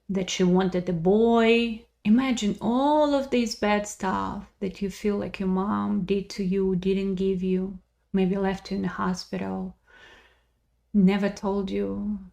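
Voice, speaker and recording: A woman's voice: mid-range voice, gravelly, darkish tone. A woman leading a guided visualization with intense, emotive, repetitive phrasing, sometimes dropping toward a whisper. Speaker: guided meditation leader. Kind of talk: guided visualization, improvised storytelling with acted dialogue.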